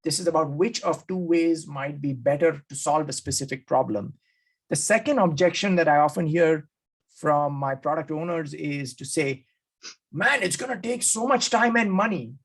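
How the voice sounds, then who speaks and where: A man's voice: a little high, normal speed, husky. A man hosting an interview, enthusiastically recapping his takeaways and acting out quoted speakers. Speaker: podcast host.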